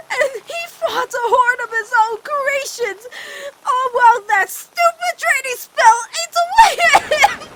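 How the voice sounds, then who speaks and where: Woman as an earnest, high-voiced protective teenage girl. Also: bright, forceful tone, heavily acted, poor audio. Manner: earnest, pleading, emphatic delivery. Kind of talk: animated-series fan dub drama acting.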